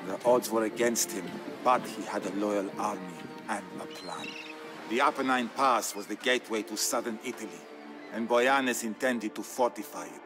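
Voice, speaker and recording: Male actor doing solemn byzantine guy narrating a strategy game war saga, low voice, grave n theatrical, music playing behind. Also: moderate pace, natural voice. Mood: stern, proud. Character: solemn Byzantine Greek man telling his family's war tale. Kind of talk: dramatic scripted narration.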